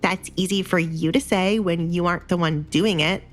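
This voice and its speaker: A woman's voice: fairly low pitch, steady tone, normal speed, faint music behind, lightly acted. A woman playing a spoiled young noblewoman with a haughty, affected manner in an audio drama. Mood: indignant. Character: spoiled young noblewoman, sulky and falsely sweet.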